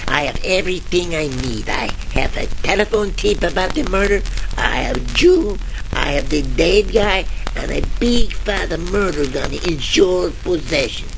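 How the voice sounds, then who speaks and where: A man playing a blustering, gloating, dim-witted police chief with stilted, deliberate speech in a parody of old radio detective drama. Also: high voice, sing-song, gravelly, heavily acted. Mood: smug. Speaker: actor in a comedy radio play. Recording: noisy old-radio crackle.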